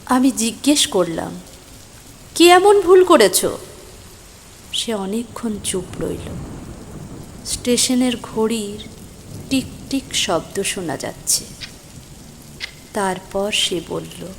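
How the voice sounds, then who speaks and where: A woman playing a stranded, concerned young woman who narrates her own mystery story, emotive and suspenseful, with deliberate pauses. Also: mid-range voice, animated, vocal fry, slight background noise. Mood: puzzled, concerned. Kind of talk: scripted first-person dramatic story narration. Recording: voice over rain and thunder ambience.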